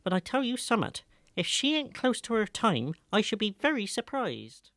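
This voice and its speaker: Man doing a high-pitched gossipy West Country village woman. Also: very animated, moderate pace, fairly bright tone, no echo, clean studio sound, full character voice. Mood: knowing. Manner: gossipy rustic dialect. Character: nosy, kindly village shopkeeper woman gossiping. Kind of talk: dramatised audiobook character acting.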